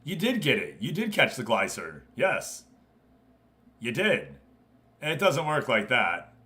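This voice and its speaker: A man with a bright, forward voice hosts a live video game stream. He talks informally and conversationally, moving between commentary on the game and direct chat with the people watching. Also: medium pitch, wildly swooping pitch, a little slow. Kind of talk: casual game stream commentary, chatting with viewers.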